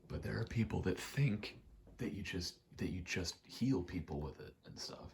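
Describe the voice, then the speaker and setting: A man giving a rambling ASMR gaming commentary, halting and meandering as he thinks aloud while playing. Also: deep voice, expressive, vocal fry, darkish tone, slight background noise. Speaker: gaming video creator.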